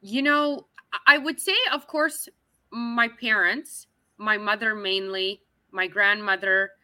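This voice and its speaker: A woman's voice: medium pitch, steady tone, slow pace, bright, forceful tone, no room sound. A woman from Canada, talking as an interview guest in a chatty, upbeat way. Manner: chatty and upbeat, with frequent restarts and laughs. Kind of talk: casual interview chat.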